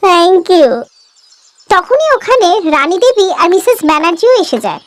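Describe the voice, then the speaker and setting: Very high-pitched woman storyteller. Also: very animated, fairly quick. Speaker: narrator. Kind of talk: scripted cartoon story narration.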